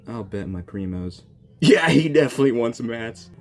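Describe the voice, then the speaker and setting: A young man reacting to a video game for his channel, joking and excitable, talking back to the characters. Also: mid-range voice, normal speed. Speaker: gaming YouTuber. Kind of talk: casual game reaction commentary.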